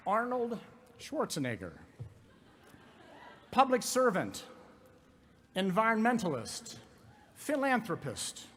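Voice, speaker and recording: High-voiced man reading a formal citation. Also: wildly swooping pitch, takes it slowly, pauses often, a bit bright, a little hiss or hum. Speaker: university president conferring degree.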